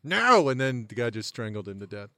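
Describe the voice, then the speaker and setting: A man with a smooth, resonant voice at an ordinary pitch, calmly spinning absurd made-up tales in casual podcast banter. He chuckles at his own jokes, recorded close on a dry mic. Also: fairly quick, no room sound. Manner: deadpan tall tales, laughs at own jokes. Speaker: podcast co-host.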